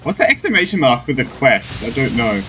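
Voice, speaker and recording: Lively casual male gamer. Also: medium pitch, brisk. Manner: rambling, informal. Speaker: gaming streamer. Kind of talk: casual playthrough commentary. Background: game music.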